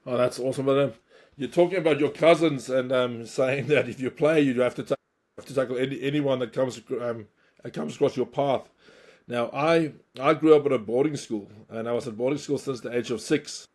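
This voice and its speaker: A man's voice: mid-range voice, a little fast, darkish tone. A man hosting a podcast, asking questions in a friendly, chatty way. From South Africa. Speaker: podcast host, interviewer. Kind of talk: casual interview.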